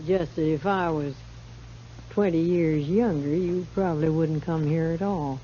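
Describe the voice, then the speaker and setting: A woman's voice: low voice, unhurried, mellow. An actress playing a worldly, teasing older American saloon madam, motherly and scheming, in a 1950s radio western. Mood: wistful, pointed. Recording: muffled, hissy early 1950s radio recording.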